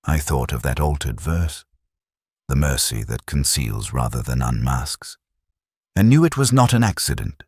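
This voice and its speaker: A middle-aged man with a very deep, slightly husky voice reads a detective mystery. His delivery is measured and brooding, with a wide, expressive rise and fall. The sound is close and dry, suited to literary first-person narration. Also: no echo.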